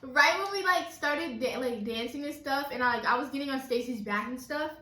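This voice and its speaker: A young woman vlogger chatting casually and retelling stories in an animated way, quoting who said what. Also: sits high, fairly quick. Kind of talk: casual storytelling chat during a mukbang. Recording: roomy echo, distant mic.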